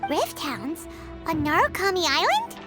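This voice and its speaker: A woman voicing a tiny, excitable, plucky fairy companion in a video game, with a squeaky, childlike, chirpy voice. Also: unhurried, exaggerated voice. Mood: curious. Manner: chirpy, expressive. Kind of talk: video game character acting. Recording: loud music behind the voice.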